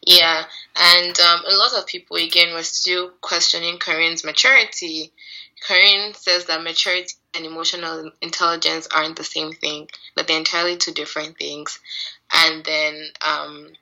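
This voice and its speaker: A woman co-hosting a podcast in casual chat, with a husky voice and a tired, sleepy edge. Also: sits low, level tone, normal speed, sharp tone, poor audio. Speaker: podcast co-host. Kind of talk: casual chat recapping TV show.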